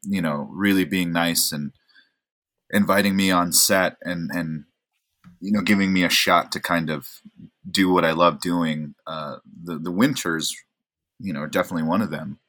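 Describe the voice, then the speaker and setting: Very deep-voiced man, relaxed and rambling. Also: normal speed. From Oregon, USA. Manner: relaxed, rambling, self-deprecating, many fillers. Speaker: actor, guest. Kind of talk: casual interview chat.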